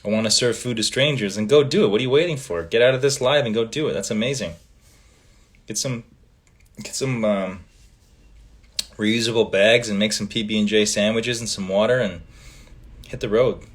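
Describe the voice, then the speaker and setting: A man from Canada whose first language is Italian, hosting a casual livestream, reading fan comments aloud in a relaxed way with many ums and trailing pauses. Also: on the low side. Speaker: livestream host.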